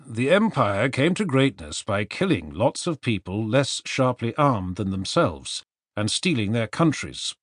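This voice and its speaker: Male narrator for serious non-fiction audiobook. Articulate, emphatic, animated melody, very dry close studio sound. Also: on the low side, no echo.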